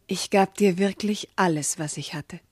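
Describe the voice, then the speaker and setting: An actress playing a proud, loving noble wife, wounded and indignant, speaking formal, impassioned verse in a classical radio drama. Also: female voice, lowish voice, moderate pace, a little mellow, slightly rough, no echo, studio quality. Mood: earnest, tender.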